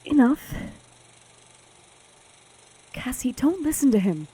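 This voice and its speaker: A woman plays a loyal young friend in an audio drama, with a husky, mellow voice a little on the high side. She is supportive and pleading, insistent in her delivery. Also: very animated, slow, exaggerated voice. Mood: reassuring.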